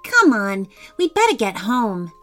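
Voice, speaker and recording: A woman puts on a playful young girl on a storybook adventure. The voice is high and bouncy, with lively swings in pitch. She exclaims eagerly, full of excitement. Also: measured pace, soft background music, full character voice. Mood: carefree. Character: two excited young girls, playful best friends on an adventure. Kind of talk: children's storybook character voices.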